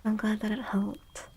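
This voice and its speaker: Breathy soft-spoken ASMR woman, slow and close to a whisper. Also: mid-range voice. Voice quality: breathy, often near a whisper. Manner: slow, gentle, drawn-out delivery. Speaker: livestream host giving tarot readings.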